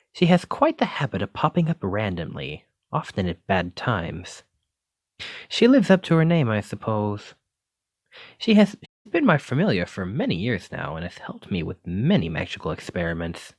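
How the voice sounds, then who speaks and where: Man acting a fussy, kindly wizard, animated pitch. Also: normal speed, husky, no room sound, studio quality, exaggerated voice. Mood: sheepish, reassuring. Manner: fussy, apologetic, gently courteous, stammering when flustered. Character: clumsy, kindly wizard bookseller teaching magic. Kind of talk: ASMR roleplay addressed to listener.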